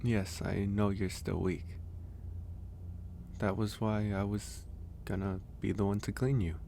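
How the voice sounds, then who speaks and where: A man voicing a gentle, teasing werewolf in an intimate, soft-spoken ASMR roleplay, with a gravelly, unhurried delivery. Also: lowish voice, fairly even intonation, a little hiss or hum. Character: gentle, teasing male werewolf caring for a guest.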